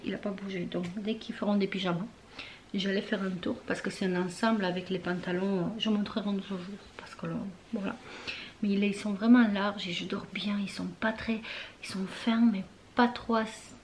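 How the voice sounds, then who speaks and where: A young woman vlogging casually from her bedroom, rambling with lots of fillers and often dropping into a soft whisper. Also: mid-range voice, slight background noise. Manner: chatty and rambling, many fillers and trailing phrases. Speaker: beauty vlogger. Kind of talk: casual chat to camera.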